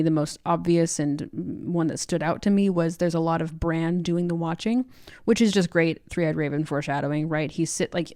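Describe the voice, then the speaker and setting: A woman's voice: low voice, warm tone, no echo, studio quality. A woman co-hosting a podcast, talking through a book in a casual, reflective and conversational way. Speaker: podcast co-host.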